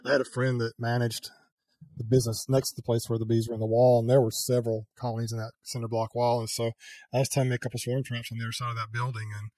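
Quick-talking man on a flat, even voice. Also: medium pitch, dry recording, low-quality recording. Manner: rambling, self-correcting, chuckles at himself. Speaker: beekeeping channel host. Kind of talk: casual interview chat. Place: home room with a headset mic. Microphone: headset with a boom mic, video call.

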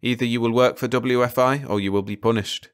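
A man's voice: medium pitch, fairly even intonation, clean tone, close to an everyday voice. Stern, formal male official played by a man. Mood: stern, accusing. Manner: formal, measured, pedantic wording. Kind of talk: audiobook character dialogue.

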